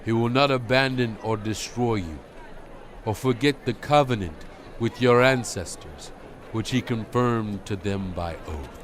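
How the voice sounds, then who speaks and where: Man acting aged Hebrew prophet, solemn audio-Bible oration, unhurried and measured, stern leader's exhortation, faint ambient noise behind. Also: lowish voice, lightly acted. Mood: solemn, reassuring. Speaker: Bible narrator. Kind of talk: dramatized scripture reading, solemn oration.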